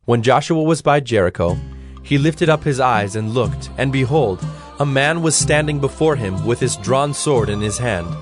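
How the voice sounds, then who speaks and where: A man narrating scripture from a script in a formal, measured storytelling manner. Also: mid-range voice, expressive, over music.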